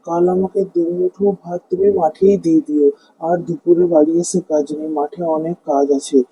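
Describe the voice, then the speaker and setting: Man acting a high-voiced, husky village farmer. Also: warm tone, dry recording, low-quality recording. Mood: weary, worried. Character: kind, hard-working poor village farmer, male. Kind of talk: Bengali animated fairy-tale dialogue.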